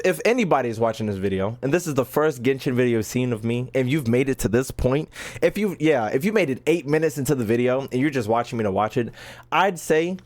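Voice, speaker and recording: A man livestreaming a video game, chatting casually with slang and swearing, often repeating himself and stumbling over words. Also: mid-range voice. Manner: stumbles often when reading aloud; slangy, profane, repeats phrases emphatically. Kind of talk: casual livestream commentary, reading game text aloud and chatting.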